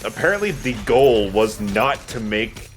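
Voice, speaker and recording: A man's voice: medium pitch, very animated, measured pace, a little hiss or hum. A man giving casual, rambling gaming commentary as a let's play host, sometimes swearing.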